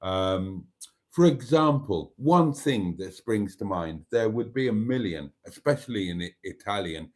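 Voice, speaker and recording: A man's voice: fairly low pitch, expressive, measured pace, darkish tone. An older man from Wales, Welsh his first language, hosting a casual live stream, chatting in a rambling, wry way as he reads out viewer comments. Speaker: livestream host.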